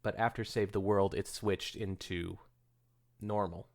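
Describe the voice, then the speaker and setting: Low, creaky-voiced casual male co-host. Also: steady tone, unhurried, a little mellow. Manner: informal, interjecting with asides. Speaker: podcast co-host. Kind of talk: casual chat and commentary.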